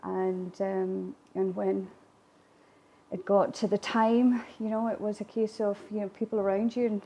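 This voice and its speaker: Woman, Gaelic-first speaker, talkative interview guest with a gravelly edge. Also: mid-range voice, flat delivery, a little slow. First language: Irish Gaelic. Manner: chatty, warm laughs, frequent fillers and restarts. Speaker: guest speaker, distillery attraction manager. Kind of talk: conversational interview answers.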